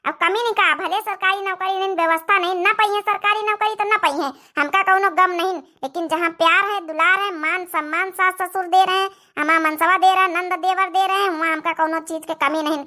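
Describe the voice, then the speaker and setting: Cartoon young wife , female performer: Awadhi accent, piercingly high, bright tone, contented yet emphatic and pleading. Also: steady tone, fairly quick, hardly any pauses. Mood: firm, indignant. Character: devoted young married sister, firm and contented. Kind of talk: cartoon family drama dialogue.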